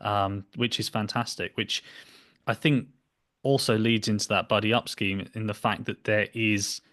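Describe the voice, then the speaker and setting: A man's voice: fairly low pitch, steady tone, measured pace, a bit bright. A young man hosting a podcast in casual interview chat, with a hesitant, rambling delivery. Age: young adult. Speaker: podcast host. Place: small home room, indoors. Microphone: computer mic on a video call.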